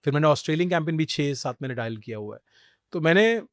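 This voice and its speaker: A man's voice: a little high, quick pace, dry recording, clean studio sound. A middle-aged man from India, a podcast guest telling stories in a conversational way, often dropping English phrases into his Hindi. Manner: mixes Hindi with English phrases, animated storytelling. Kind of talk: conversational interview storytelling.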